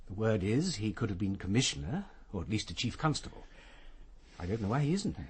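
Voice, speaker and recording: A very husky, lowish-voiced head of chambers in a radio crime drama. He is formal and hesitant, with a voice that rises and falls widely. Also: sing-song, a little fast, exaggerated voice. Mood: measured, uneasy.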